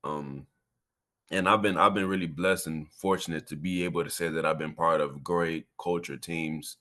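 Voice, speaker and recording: A man's voice: low-pitched, fairly even intonation, normal speed, pushed and bright. A man in his twenties from Miami, talking casually and earnestly as a college athlete being interviewed, often repeating himself for emphasis. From Miami, Florida. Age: 20s. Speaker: college football player, interview guest.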